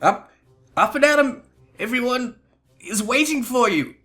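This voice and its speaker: A man's voice: very high-pitched, expressive, unhurried, pushed and bright, pitched above the performer's own voice, exaggerated voice. A man voicing an earnest, eager young female assistant in a melodramatic reading of video game dialogue. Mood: coaxing. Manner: eager, pleading, exclamatory. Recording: music behind the voice.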